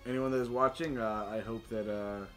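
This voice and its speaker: A man's voice: lowish voice, fairly even intonation, moderate pace, soft background music. A man hosting a tabletop game stream, chatting casually and explaining dice rules in an informal, jokey way. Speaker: game master and stream host.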